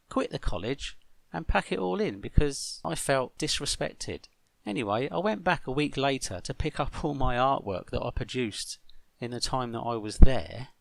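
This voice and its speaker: Male vlog host, middle-aged. Gravelly, dry close sound, blunt and grumbling delivery. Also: medium pitch, fairly bright tone, no room sound. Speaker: host of an online video channel. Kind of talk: monologue to camera for a vlog.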